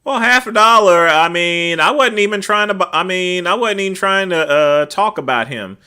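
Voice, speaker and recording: A man hosts a casual livestream into a desk microphone, chatting with viewers and answering their comments. His voice is naturally loud and cuts through, with a bright, forceful tone. He rambles through fillers and lets the ends of his phrases drop to a mutter. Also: high-pitched. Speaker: livestream host.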